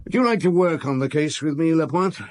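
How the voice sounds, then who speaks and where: An actor playing a calm, probing middle-aged chief inspector in a radio drama, asking short, pointed questions with dry humour. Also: male voice, on the high side, a little fast, natural voice.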